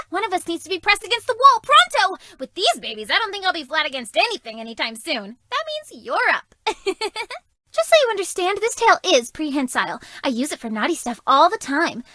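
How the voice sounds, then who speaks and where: Very high, hyperactive catgirl. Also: fairly quick, dry recording, clean studio sound, exaggerated voice. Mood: hyper, urgent. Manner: excitable, urgent, exclaiming. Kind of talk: video game character voice lines.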